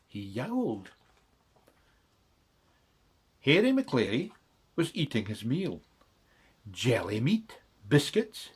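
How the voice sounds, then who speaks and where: A male storyteller reads rhyming children's verse at a slow pace. His delivery is dramatic, with lively swings in pitch and a slightly husky, breathy tone. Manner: dramatic, rhythmic verse reading. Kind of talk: children's bedtime story reading.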